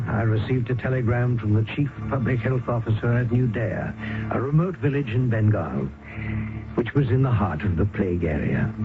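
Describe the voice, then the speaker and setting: An actor playing an educated, loyal gentleman friend in old-time radio drama, formal and earnest, who also narrates. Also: mid-range voice. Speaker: narrator and character. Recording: tinny old radio recording with music.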